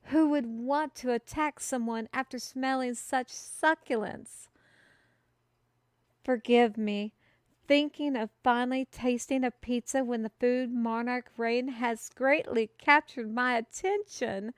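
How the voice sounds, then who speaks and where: A woman acts out an earnest female herald in a fantasy audio drama, proclaiming in grand, old-fashioned phrases. The voice sits on the high side and moves slowly, with an excitable streak. The sound is close and dry. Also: no echo. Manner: theatrical, proclaiming, old-fashioned formal wording. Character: excitable, earnest female kingdom messenger bearing a food prophecy. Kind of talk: fantasy audio roleplay, speaking to one listener.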